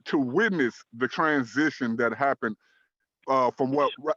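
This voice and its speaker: Earnest thirty-something talk show host. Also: male voice, medium pitch, sharp tone, muffled recording, slight background noise. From Dallas, Texas. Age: 30s. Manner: measured, earnest, uses fillers like "um" and "uh". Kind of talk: online talk show discussion.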